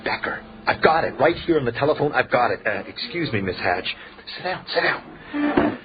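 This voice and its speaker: An actor playing an excitable, sceptical policeman in a radio drama, blustery and sardonic. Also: medium pitch, normal speed, faint music behind, close to an everyday voice. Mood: excited, flustered. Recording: dull, lo-fi radio recording.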